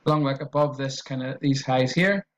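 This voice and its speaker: A man's voice: medium pitch, normal speed, lo-fi sound. A man with an Irish accent talking through a trading tutorial on screen, in a loose, repetitive way with fillers and restarts. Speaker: trading educator.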